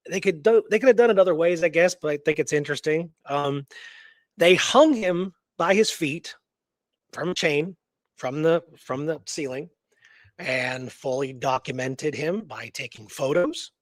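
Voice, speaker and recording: Higher-voiced man, casual co-host, dry sound. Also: a little slow, no room sound. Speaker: radio show co-host. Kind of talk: casual conversational storytelling and discussion.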